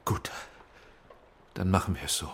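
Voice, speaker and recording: A man's voice: normal speed, background noise, natural voice. An actor playing a conciliatory, harried husband and father in a radio drama. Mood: placating.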